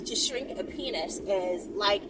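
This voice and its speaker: A woman's voice: medium pitch, huge pitch swings, unhurried, a crowd in the background. A woman hosting a casual vlog, chatting in a rambling, playful and bossy way, as if giving viewers instructions. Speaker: vlog host. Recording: very noisy, distant mic, vehicle ambience.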